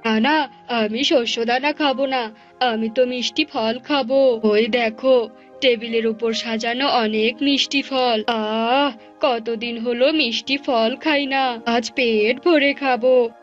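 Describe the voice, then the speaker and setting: A woman's voice: a little high, steady tone, slow pace. Woman playing greedy male bird, gloating, bossy, drawling, cartoon fable, music underneath. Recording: dull lo-fi audio with music.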